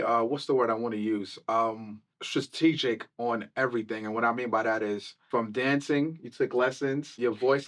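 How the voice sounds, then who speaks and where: A man's voice: mid-range voice, a bit bright. Affirming radio DJ man. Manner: friendly, affirming, frequent "okay" and "got it". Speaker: radio DJ, interviewer. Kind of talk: casual radio interview. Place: radio studio.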